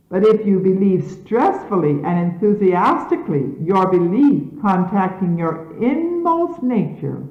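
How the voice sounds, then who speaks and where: A woman reading scripture as a solemn sermon in measured, emphatic, formal diction, on an archival recording from the 1970s. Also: medium pitch. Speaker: religious leader reading scripture aloud. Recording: phone-like, echoey 1970s archival tape.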